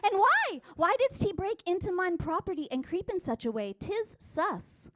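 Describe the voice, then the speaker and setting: A woman's voice: very high-pitched, very animated, moderate pace, fairly bright tone, no echo, exaggerated voice. A woman playing a vain, lovestruck, dramatic thirteen-year-old noble maiden in a comic mock-Shakespearean monologue with modern slang. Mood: exasperated, wailing. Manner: theatrical, exaggerated, indignant.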